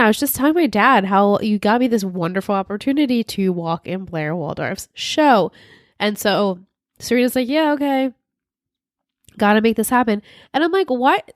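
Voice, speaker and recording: A woman's voice: mid-range voice, no echo, studio quality. A woman co-hosting a podcast, chatting casually while recapping a TV show, her voice worn and congested from a sinus infection, acting out characters' lines as she goes. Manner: animated, acts out characters' lines in quotes. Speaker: podcast co-host.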